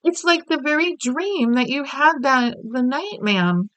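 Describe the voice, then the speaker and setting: A woman's voice: high voice, expressive, measured pace, fairly bright tone, no echo, pitched above the performer's own voice, rough recording. A woman voicing an oldish maid who talks in a deferential, stammering way. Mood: anxious.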